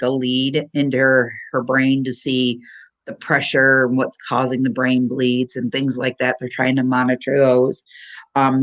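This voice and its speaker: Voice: medium pitch, fairly bright tone. A livestream host chatting casually and conversationally, giving personal updates to friends. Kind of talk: casual chat about family updates. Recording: muffled lo-fi voice-chat audio.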